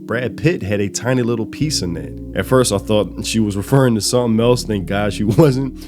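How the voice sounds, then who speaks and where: A man with a cold, his voice raspy and dry, telling a story in a casual commentary to his channel's viewers. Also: lowish voice, moderate pace. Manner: conversational, recounting a dialogue. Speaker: channel host. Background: music.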